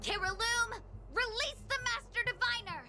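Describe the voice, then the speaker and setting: High-voiced spirited young diviner woman. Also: moderate pace, over music. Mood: alarmed. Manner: earnest, expressive. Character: spirited young female diviner, defiant, loyal, cheeky. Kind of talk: video game voice acting.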